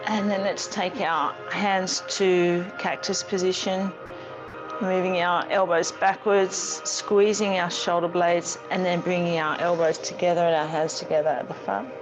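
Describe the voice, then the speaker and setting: Woman teaching gentle yoga over music. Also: on the low side, measured pace, rough recording. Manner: calm, soothing, gently instructing. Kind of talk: guided gentle yoga class.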